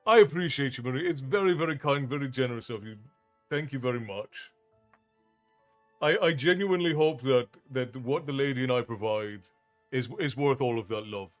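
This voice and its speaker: A man's voice: mid-range voice, normal speed, faint music behind. A man in his thirties from Ireland with an Irish accent mixed with American, chatting casually with viewers as a streamer and thanking them often.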